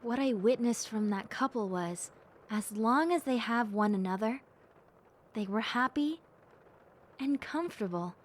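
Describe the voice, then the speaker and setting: A sweet young bat girl, acted by a woman, speaks in a highish voice at a very slow pace. She is earnest and cheerful, talking softly-heartedly and reflectively to one listener in an audio roleplay. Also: lively intonation, slight background noise. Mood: wistful, reflective.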